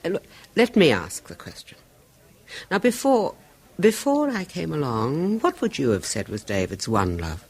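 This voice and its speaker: Marianne, husky anguished wife. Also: female voice, deep voice, wildly swooping pitch, measured pace, full character voice. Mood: haunted. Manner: intense, confiding, drops to hushed whispers. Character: anguished wife of a tormented violinist. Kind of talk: 1970s radio drama acting.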